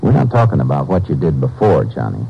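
An actor playing a calm, firm American Texas Ranger, terse and matter-of-fact, in 1950s radio drama style. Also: male voice, very deep voice, fast, creaky, full character voice. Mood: steady, persuasive. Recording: muffled old radio recording, faint music.

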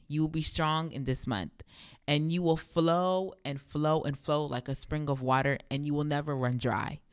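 A young woman with a deep voice hosting a devotional podcast, speaking emphatically and repeating phrases for effect. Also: clean tone, no echo, clean studio sound. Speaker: podcast host.

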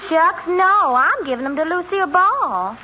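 A woman's voice: very high voice, expressive, moderate pace, bright, forceful tone, full character voice. A woman playing a jealous, sugary Southern belle with a drawling Southern US accent in 1940s radio comedy. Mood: cooing, sweet. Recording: noisy, dull 1940s radio recording.

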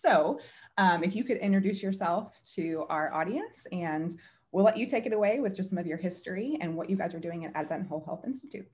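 A woman's voice: lowish voice, expressive, fairly quick, darkish tone. A woman co-hosting a podcast, introducing the guest in an upbeat way and laughing often. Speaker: podcast co-host. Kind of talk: casual podcast intro and interview.

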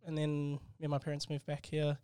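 A young New Zealand man speaks as an interview guest, soft-spoken and hesitant. His slightly high voice stays flat and even. The tone is smooth and the sound dry. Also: a little fast, no room sound. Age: 20s. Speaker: guest entrepreneur. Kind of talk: casual interview chat.

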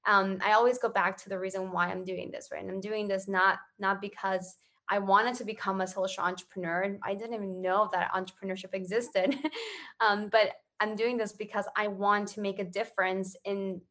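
A woman's voice: mid-range voice, flat delivery, moderate pace, a bit bright. A woman from Ecuador giving casual, earnest interview answers as a social entrepreneur, often checking in with "right?". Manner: earnest, conversational, often tagging phrases with "right?".

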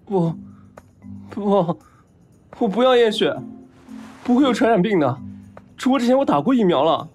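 An excitable young man in a radio drama, smitten and easily flustered. His voice sits high and swings widely in pitch, with stammers and whiny exclamations, over music. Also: normal speed, long pauses. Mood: flustered, defensive. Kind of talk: audio drama acting. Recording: music behind.

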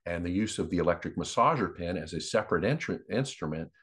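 A man co-hosting an advocacy podcast, explaining things unscripted in a measured, matter-of-fact way. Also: low voice, lively intonation, fairly bright tone, slightly rough.

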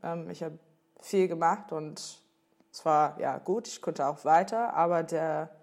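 A young American woman, a native English speaker, answering interview questions in halting German, often pausing to search for words. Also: sits low, darkish tone. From United States. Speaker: guest.

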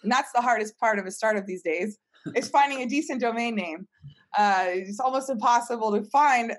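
A woman's voice: a little high, a little fast. A woman speaking casually as a startup founder in an interview, telling stories and voicing her own past reactions. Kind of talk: casual conversational storytelling.

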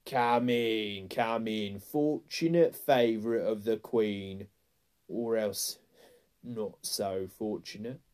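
A man's voice: on the low side, darkish tone, clear, resonant tone. A man voicing a huge, menacing grey wolf in a storybook, growling and commanding. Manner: slow, commanding, threatening. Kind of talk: storybook character voice.

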